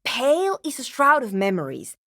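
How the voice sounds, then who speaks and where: A woman's voice: a little high, wildly swooping pitch, moderate pace, a bit bright, dry recording, studio quality, full character voice. An actress playing a weary, obsessive and prickly woman programmer in a video game, with a terse, precise way of talking. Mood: intrigued, explaining. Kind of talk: dramatic game dialogue.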